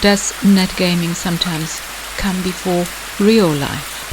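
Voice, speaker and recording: Hypnosis guide voice, mid pitch, measured n deliberate w pauses, hissy rain noise behind. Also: steady tone. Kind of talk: scripted guided hypnosis narration. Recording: hissy, clearly noisy background.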